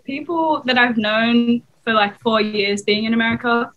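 A woman's voice: fairly high pitch, fairly even intonation, moderate pace, phone-quality sound. A young Australian woman, a college basketball player, talking casually over a video call with many fillers. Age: young adult. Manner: casual, chatty, lots of fillers. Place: small room, indoors.

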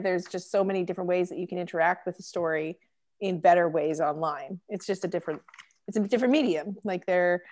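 A young woman, a magazine editor, chatting casually on a video call through earbuds, with lots of fillers and quick laughs. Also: medium pitch, darkish tone. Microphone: earbud headset on video call.

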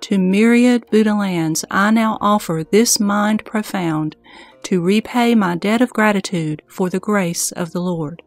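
A woman reciting Buddhist verse in a solemn, reverent, deliberate manner. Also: mid-range voice, no room sound, soft background music. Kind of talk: scripted devotional verse recitation.

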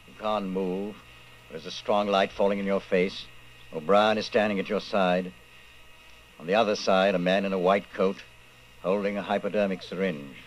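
An actor playing the grim narrator of a dystopian radio play, solemn and deliberate. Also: male voice, deep voice, steady tone, slight background noise, lightly acted, poor audio. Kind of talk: scripted radio drama narration.

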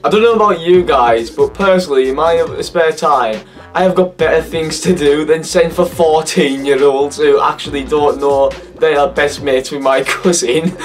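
Hoarse teenage Cumbrian lad. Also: male voice, fairly high pitch, recorded from a distance. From Cumbria, England. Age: teens. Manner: laughing, swearing, incredulous. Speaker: YouTuber. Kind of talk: casual reaction vlog. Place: bedroom, indoors. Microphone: webcam at desk.

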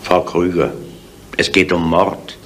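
An actor playing a firm, probing police inspector who presses deliberately in a seventies radio crime drama. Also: male voice, low voice, level tone, fairly bright tone. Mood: grave, pressing. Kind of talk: 1970s German radio crime drama acting. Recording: old radio recording with faint noise.

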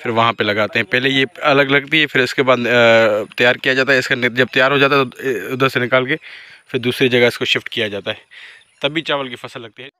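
Chatty village vlogger with a bright voice. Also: male voice, mid-range voice, fairly even intonation, brisk, no room sound. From Pakistan. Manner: chatty, walks and points out surroundings, teases friends. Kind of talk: casual vlog narration. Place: outdoors in a village among fields. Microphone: phone held at arm's length, selfie framing.

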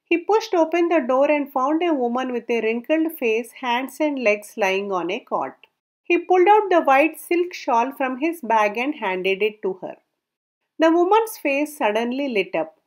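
A woman narrating a folk tale aloud in a measured storybook reading, her sentences falling at the ends. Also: sits high, expressive.

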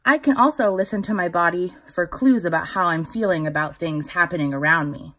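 A woman in her thirties reading a children's picture book aloud, instructive, pausing to put questions to young listeners. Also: lowish voice, a bit bright. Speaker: children's story time reader.

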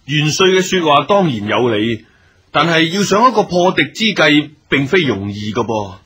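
Period radio drama: dutiful junior general, Hong Kong Cantonese, fairly high raspy voice, formal and earnest. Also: male voice, big pitch swings, moderate pace, fairly bright tone, full character voice. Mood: deferential, attentive. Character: loyal, dutiful, brave junior general in ancient China. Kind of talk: theatrical radio drama acting.

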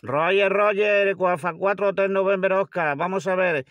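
A man's voice: high-pitched, normal speed, lo-fi sound. A man with an accent from Spain chatting casually as an amateur radio operator inside a car. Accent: Spanish from Spain. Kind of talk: casual ham radio contact.

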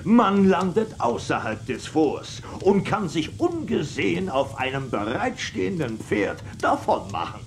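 A self-assured male general in a western radio play. He speaks slowly and deliberately in a fairly high, animated voice. There is clear noise behind him. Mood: explaining. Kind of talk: German children's audio drama acting.

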